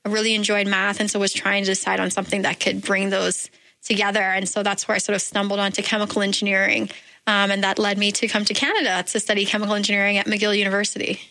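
A woman's voice: medium pitch, little pitch movement, fast talker, pushed and bright, rough recording. A woman from the Bahamas, a guest in a radio studio interview, answering thoughtfully with frequent "um" fillers. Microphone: studio microphone.

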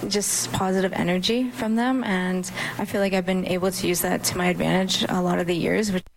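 A woman athlete in a press interview, speaking in a measured, composed way. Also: lowish voice, fairly even intonation, creaky, a little hiss or hum, rough recording. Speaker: pro golfer.

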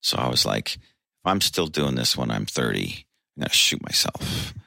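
A man in his sixties, a singer, telling an animated, laughing anecdote in an interview. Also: extremely low pitch, moderate pace, vocal fry, dry recording. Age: 60s.

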